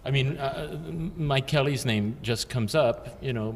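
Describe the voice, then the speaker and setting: Older male guest, mid pitch, measured and hesitant, reverberant room with faint hiss. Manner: thoughtful, hesitant, with many fillers. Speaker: guest artist. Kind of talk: casual interview chat. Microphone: handheld mic.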